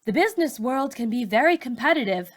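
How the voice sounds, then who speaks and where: A woman voicing a composed, businesslike female teacher of forty from a storybook, measured and matter-of-fact. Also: fairly high pitch, lively intonation, pitched above the performer's own voice. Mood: frank.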